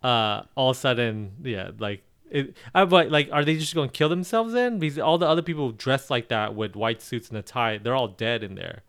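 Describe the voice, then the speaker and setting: Chill guy cohost, dry little jokes, smooth clear voice. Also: medium pitch, a little fast, hardly any pauses, fairly bright tone. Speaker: podcast co-host. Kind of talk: casual chat.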